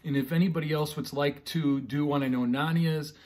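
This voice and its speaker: A man's voice: a little high, fairly even intonation, fairly quick, darkish tone. A middle-aged American man, a pastor chatting casually and reading children's bedtime stories expressively. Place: living room at home, indoors.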